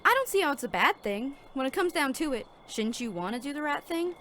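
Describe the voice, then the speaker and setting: A woman's voice: high voice, big pitch swings, fairly quick, sharp tone, slight background noise, full character voice. A woman playing an earnest, naive young sheriff's deputy, hesitant and dutiful, in a Western audio drama.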